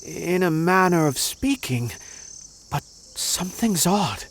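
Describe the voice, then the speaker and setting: An actor playing a wary, probing inquisitor in a video game, speaking in a deliberate, dramatic way. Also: male voice, high voice, expressive, fairly bright tone, a little hiss or hum, heavily acted.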